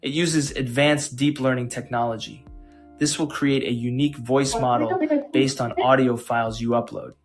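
A man hosting a tech channel, narrating a scripted software tutorial. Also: mid-range voice, steady tone, moderate pace. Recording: music behind.

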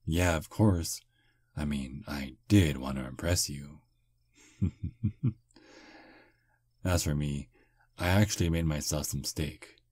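Man doing a smooth male vampire, husky low voice, flattering and gentlemanly, dry close sound. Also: slow, long pauses, dry recording, exaggerated voice. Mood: smooth flirting. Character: charming polite male vampire on a first date. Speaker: voice actor. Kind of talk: ASMR roleplay.

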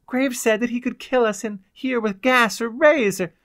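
A man's voice: very high-pitched, normal speed, a bit bright, no room sound, studio quality, pitched above the performer's own voice. A man voicing an anxious, eager young woman held captive in a pulp science fiction audiobook. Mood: urgent, helpful.

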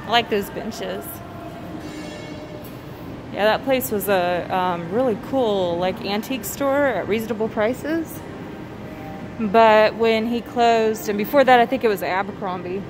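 A woman filming a walking vlog through an empty mall on her phone, musing aloud in a wistful way with soft hums. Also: mid-range voice, unhurried, music in the background, rough recording. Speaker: vlogger. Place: inside an empty shopping mall. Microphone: phone camera held while walking.

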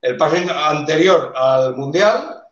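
A man on a video call explaining motorcycle engineering in a live debate, with many pauses and restarts. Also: fairly high pitch, animated, fairly bright tone. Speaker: engineer panelist. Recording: roomy, dull video call audio.

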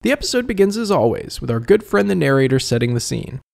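A man narrating a scripted comedic review as host, in an expressive, wry and conversational manner. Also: medium pitch, quick pace, vocal fry. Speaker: video essay host and reviewer.

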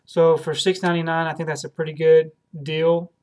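Flat-voiced man with many ums. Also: fairly high pitch, fairly quick. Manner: hesitant, many ums and repeated words. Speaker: video host, collector. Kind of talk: casual haul video. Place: home room with shelves of films. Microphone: webcam at desk.

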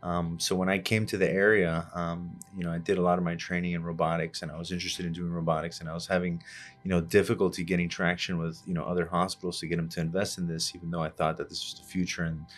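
Podcast guest, male, 30s, surgeon: low and even, steady and explanatory, filler-heavy, studio desk mic. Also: soft background music. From Cuba, raised in Miami. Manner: measured, explanatory, many "uh" and "you know" fillers. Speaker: orthopedic surgeon, interview guest. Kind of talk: hospital podcast interview. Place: small studio set with plant and armchairs. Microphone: desk podcast microphone on arm.

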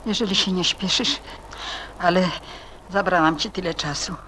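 A woman's voice: fairly low pitch, fairly even intonation, moderate pace, pushed and bright. An actress playing a worried, polite older lady in a Polish radio play, self-reproaching and a little hesitant. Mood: weary. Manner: fretful, courteous, hesitant. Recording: faintly hissy radio play with ambience.

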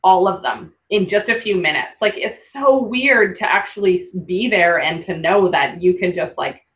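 A woman's voice: on the low side, lively intonation, normal speed, dull audio. A woman hosting an online workshop, chatting casually through slides with lots of laughs. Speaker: workshop host presenting slides. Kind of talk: casual webinar presentation.

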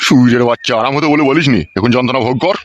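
A man's voice: mid-range voice, rapid-fire, dry recording, muffled recording, lightly acted. A man playing a stern, crude older village uncle in a comic cartoon, blunt and bossy. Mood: angry, scolding. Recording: music under the voice.

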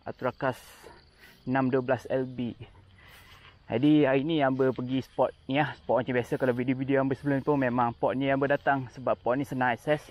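A man with a Kelantanese Malay accent chatting casually through a fishing vlog, with quick excited exclamations, filmed outdoors on a riverbank with a body-worn action camera. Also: medium pitch, highs cut off. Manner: chatty, excited exclamations while reeling fish. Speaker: fishing vlogger. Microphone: chest- or head-mounted action camera.

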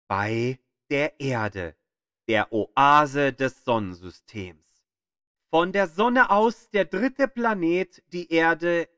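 A man narrating a scripted educational audio guide like a playful tour guide, with deliberate, emphatic storytelling delivery. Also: fairly high pitch, a bit bright, no echo, studio quality.

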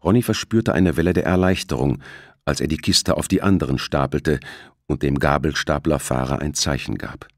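A man's voice: rumbling low, normal speed, no echo, clean studio sound. A man narrating a suspense novel as an audiobook, reading from a script. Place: close, dry studio recording.

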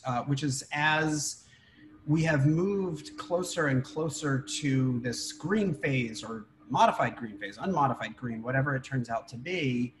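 A middle-aged rabbi speaks to his congregation over a video call, measured and deliberate, with plenty of ums and uhs. His voice sits at an ordinary man's pitch. A faint hiss runs under the call audio. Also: rough recording. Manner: measured, deliberate, with frequent fillers. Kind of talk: town hall remarks to a congregation.

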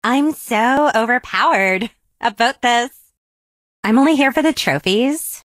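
A woman's voice: a little high, animated, measured pace, a bit bright, no echo. A woman voicing a vain, snarky zombie teenage influencer for a video game, with a sassy, exaggerated valley-girl delivery. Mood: smug. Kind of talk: video game character voice lines.

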